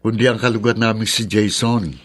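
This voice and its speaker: An actor playing a level-headed, dismissive grown son in a Filipino radio drama. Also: male voice, fairly low pitch, fairly even intonation, fairly quick, natural voice. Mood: reassuring. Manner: dismissive, matter-of-fact.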